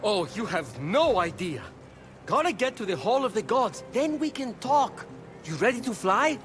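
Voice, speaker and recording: An actor playing a glib, wry and playful trickster messenger god in an animated video game. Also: male voice, sits high, moderate pace, soft background music. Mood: flippant, teasing. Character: quick-witted male trickster messenger god, flippant and playful.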